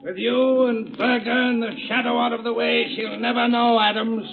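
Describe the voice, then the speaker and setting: An actor playing a treacherous murderer in an old radio drama, taunting and gloating with melodramatic menace. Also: male voice, very high-pitched, little pitch movement, normal speed, vocal fry, crowd noise, exaggerated voice. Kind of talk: 1930s-40s radio drama acting. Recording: distant, phone-like old radio recording, noisy backdrop.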